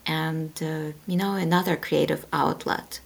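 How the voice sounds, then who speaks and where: Gravelly-voiced woman, slow and thoughtful. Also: deep voice, steady tone. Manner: thoughtful, hesitant, many fillers. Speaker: guest, author and translator. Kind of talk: interview conversation.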